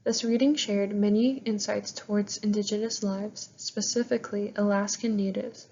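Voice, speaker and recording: A woman's voice: medium pitch, fairly even intonation, moderate pace, warm tone, slight background noise. Canadian woman presenting, dull lo-fi sound. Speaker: student presenter. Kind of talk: scripted presentation, read aloud.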